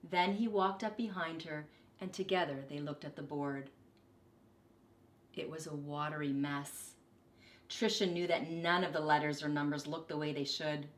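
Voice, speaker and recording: A woman reading a children's story aloud expressively, with deliberate, dramatic emphasis. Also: sits low, steady tone, reverberant. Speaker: storybook reader, teacher.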